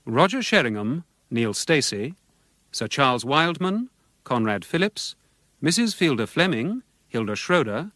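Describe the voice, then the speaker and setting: Radio announcer, dry and animated man. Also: medium pitch, no echo. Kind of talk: formal scripted cast credits.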